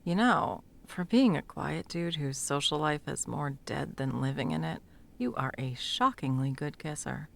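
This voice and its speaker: Female sound mage, acted by a woman for audio roleplay: low register, gravelly fry, cursing, bossy, teasing, secretly smitten. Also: expressive, measured pace, a bit bright, heavily acted. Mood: teasing, fond. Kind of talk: intimate second-person audio roleplay acting.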